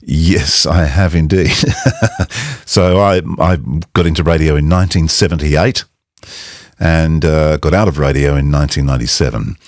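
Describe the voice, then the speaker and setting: Very deep-voiced older man, close and dry. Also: fairly even intonation, moderate pace, no room sound. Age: 60s. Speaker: voiceover artist and demo coach. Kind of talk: conversational explainer to camera.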